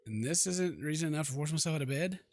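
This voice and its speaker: A man's voice: on the high side, lively intonation, fast, slight background noise. A man hosting a let's play, reading game text aloud in a playful mock-dramatic way with jokey asides. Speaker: gaming video host.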